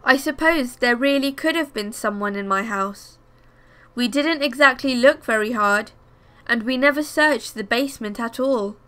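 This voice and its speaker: A woman reading first-person scary stories aloud as scripted narration, in a measured storytelling manner. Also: a little high, bright, forceful tone.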